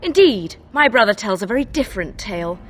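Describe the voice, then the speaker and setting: A woman's voice: medium pitch, sing-song, a little fast, faint music behind, lightly acted. Woman acting an accusing, formal noblewoman. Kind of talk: dramatic fantasy game acting.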